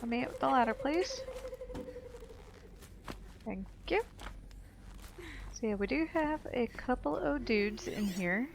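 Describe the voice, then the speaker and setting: A woman's voice: on the high side, lively intonation, takes it slowly, vocal fry, pauses often, background noise. A woman gives casual gameplay commentary in an informal, wry way. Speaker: gaming video creator.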